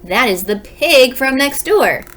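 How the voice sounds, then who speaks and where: A woman's voice: high voice, sing-song, unhurried, fairly bright tone, pitched above the performer's own voice, full character voice. A woman voicing a stern, bossy, cranky older sister in a children's storybook, scolding and emphatic. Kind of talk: children's storybook read-aloud.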